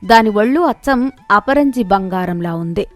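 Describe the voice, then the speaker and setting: A woman's voice: mid-range voice, lively intonation, normal speed, faint music behind. A woman narrating a scripted moral story in a dramatic storytelling style.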